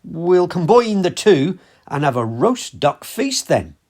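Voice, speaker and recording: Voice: mid-range voice, big pitch swings, slow pace, a little mellow, a little hiss or hum. An amateur actor plays the chairman of a village meeting in a comic audio play. The voice moves up and down a great deal. The manner is fussy, parochial and dryly exasperated. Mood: businesslike.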